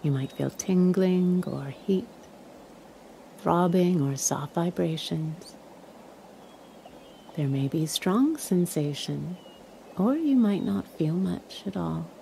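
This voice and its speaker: A woman leading a guided meditation in a calm, soothing, measured way, leaving long pauses. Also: on the low side, vocal fry, slight background noise.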